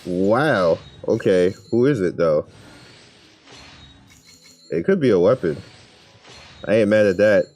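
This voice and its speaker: Low, slow-talking man, casual. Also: animated, a little mellow. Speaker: gaming video creator. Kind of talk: casual gameplay commentary, thinking aloud.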